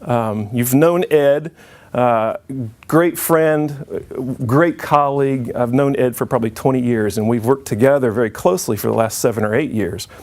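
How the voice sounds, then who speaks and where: A man's voice: medium pitch, moderate pace, a little mellow. A middle-aged man giving an informal conference presentation to an audience. He jokes and restarts his sentences often. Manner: friendly, joking, with frequent restarts.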